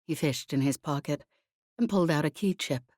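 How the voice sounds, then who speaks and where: A woman's voice: low voice, normal speed, a little mellow, dry recording, studio quality. A woman reading a novel aloud as scripted audiobook narration.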